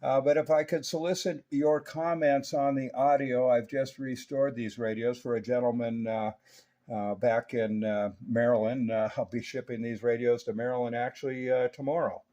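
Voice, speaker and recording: A man's voice: medium pitch, level tone, moderate pace, mellow. An older American man talking casually as a CB radio operator, folksy and chatty, with plenty of radio jargon. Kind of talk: casual radio check-in chat using CB jargon. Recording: dull, lo-fi audio.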